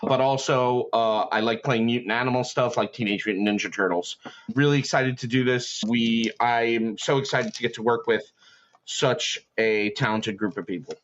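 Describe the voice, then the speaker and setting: A man's voice: medium pitch, normal speed. A middle-aged man from New York City, a tabletop player, chatting enthusiastically on a podcast. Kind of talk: casual podcast chat. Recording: dull lo-fi mic.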